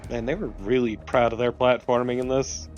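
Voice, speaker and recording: A man's voice: mid-range voice, level tone, a little fast, a little mellow, dull audio. A man casually co-commentating on gameplay, exclamatory and prone to swearing. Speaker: let's play co-commentator. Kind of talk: casual chat over gameplay.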